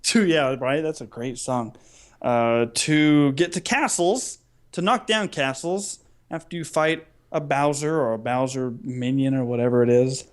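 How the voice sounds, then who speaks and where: A man's voice: mid-range voice, measured pace. A man co-hosting a podcast in casual comedic banter, playful and mock-grandiose, stretching words for effect. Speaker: podcast co-host.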